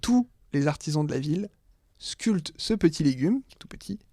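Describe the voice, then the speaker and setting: A man co-hosting a casual podcast among friends, playful and quick to laugh, running a quiz game at a table. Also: a little high, animated, warm tone, no room sound, studio quality. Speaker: podcast co-host.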